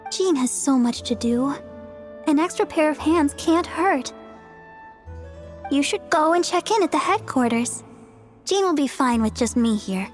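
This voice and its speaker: A woman's voice: high-pitched, animated, unhurried, pauses often, over music. A woman voicing a polite, dutiful young maid knight in a video game. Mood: earnest. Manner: polite, eager to help.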